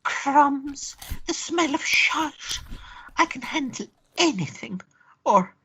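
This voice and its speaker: An Irish woman playing a lusty, teasing lover in a radio play, with a breathy, flirtatious drawl. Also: high-pitched, lively intonation, slow, a bit bright, exaggerated voice, poor audio. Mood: seductive, playful.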